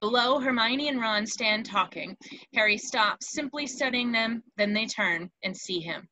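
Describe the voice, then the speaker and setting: Bright-voiced woman narrator. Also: a little high, unhurried, dry recording, poor audio. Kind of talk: scripted narration of stage directions.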